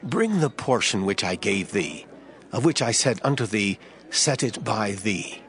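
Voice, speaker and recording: An actor playing an authoritative, assured prophet in dramatized scripture, solemn, declamatory and deliberate. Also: male voice, lowish voice, sing-song, fairly bright tone, full character voice. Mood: assured, instructing. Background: background music.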